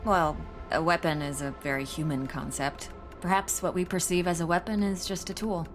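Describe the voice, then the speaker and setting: A low-voiced woman scientist, acted by a woman for a cinematic video game. She is composed and precise as a doctor on a space crew. Music plays clearly behind her. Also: fairly even intonation, normal speed, lightly acted. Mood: composed, focused.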